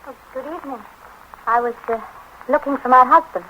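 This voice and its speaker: An actress playing a polite, nervous, well-bred young wife making prim, hesitant small talk in a 1930s radio drama. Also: female voice, sits high, brisk, sharp tone. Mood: nervously polite. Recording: muffled 1930s radio broadcast, faint noise.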